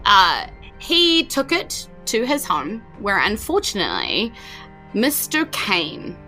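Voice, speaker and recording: A woman's voice: medium pitch, huge pitch swings, slow pace, sharp tone, soft background music. A woman with a New Zealand accent running a tabletop game, narrating the story so far in a chatty way, with fillers and self-corrections. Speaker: game master.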